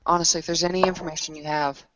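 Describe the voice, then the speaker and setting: A woman's voice: sits low, steady tone, lo-fi sound, noisy background, natural voice. Woman playing an earnest, worried young woman. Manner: earnest, halting, polite.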